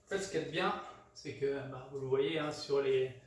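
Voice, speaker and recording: A man's voice: medium pitch, brisk. A man from France hosts a casual cooking tutorial, hesitant and full of fillers and restarts. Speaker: home cook, channel host. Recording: very echoey room, mic far off, clear background noise.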